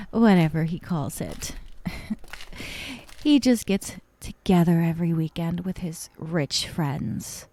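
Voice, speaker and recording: Woman acting a slow, breathy, sadistic yandere girlfriend. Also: sits low, dry recording. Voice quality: soft, breathy. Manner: slow, breathy half-whispers broken by giggles. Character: obsessive, sadistic young yandere woman, sweetly menacing captor. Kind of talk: ASMR roleplay, intimate dramatic monologue.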